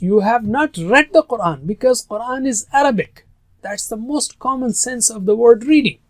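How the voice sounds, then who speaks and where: A man's voice: sits high, no room sound. A man whose first language is Urdu, teaching a recorded lesson on Quranic Arabic in an earnest, emphatic way that stresses key words.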